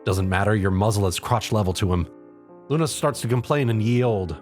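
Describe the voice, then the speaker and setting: A man's voice: on the low side, brisk, faint music behind. A man narrating a comedic story in the second person, as a dramatic reader.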